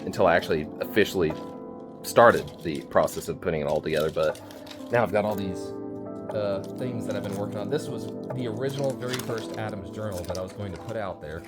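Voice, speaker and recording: A man talking in a casual, rambling vlog style to his listeners, informal and halting as he thinks aloud. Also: on the low side, loud music behind the voice. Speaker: storytelling channel creator and host. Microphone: phone video recording.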